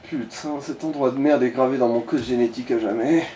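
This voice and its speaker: A man's voice: medium pitch, a little fast, warm tone, recorded from a distance, slight background noise. A man giving casual, informal gaming commentary, coaching a player and swearing now and then. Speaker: let's play co-host guiding the player.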